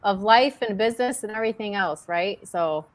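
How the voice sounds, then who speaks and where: A woman's voice: medium pitch, moderate pace. Enthusiastic woman on a video call. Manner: enthusiastic, casual swearing. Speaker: panelist. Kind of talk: casual panel chat.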